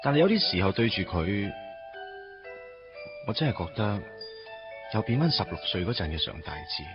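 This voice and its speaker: Wistful young man, Hong Kong Cantonese, low and lively, wry, radio drama, muffled with faint music. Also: moderate pace. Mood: wistful, reflective. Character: wistful, teasing young man reuniting with his first love. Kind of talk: radio drama acting with narrated inner monologue.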